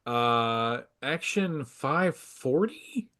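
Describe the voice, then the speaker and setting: A man chatting casually as a podcaster guest, halting and a little pedantic like a devoted fan. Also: mid-range voice, animated, a bit bright. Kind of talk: casual chat.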